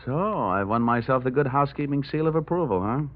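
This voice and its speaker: An American actor playing a wry, tough insurance investigator in a wisecracking 1940s radio detective style. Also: male voice, medium pitch, expressive, brisk, close to an everyday voice. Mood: flirtatious, brisk. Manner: wisecracking, hard-boiled. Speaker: narrator and lead actor. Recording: dull old radio recording with music.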